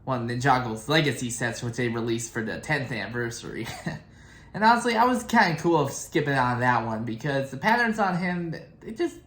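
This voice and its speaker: A young man talks in an echoey room, hosting a casual fan video. His delivery rambles and halts as he finds his way through his thoughts. His pitch sits in an ordinary middle range. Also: animated, moderate pace, a bit bright, slight background noise. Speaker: reaction video host.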